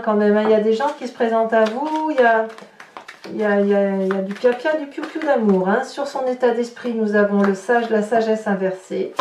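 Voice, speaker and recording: A woman giving an informal tarot reading to viewers, in a halting way with repeated words and little tag questions. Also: medium pitch, darkish tone. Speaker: tarot reader presenting horoscope readings. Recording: roomy echo, distant mic, faint noise.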